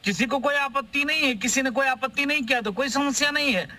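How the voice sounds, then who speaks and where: A man speaks as a religious guest in a TV debate. His voice is very high and extremely bright and forceful. He argues emphatically, telling accounts and repeating phrases for weight. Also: quick pace, slight background noise. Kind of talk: anecdotal argument in a TV debate.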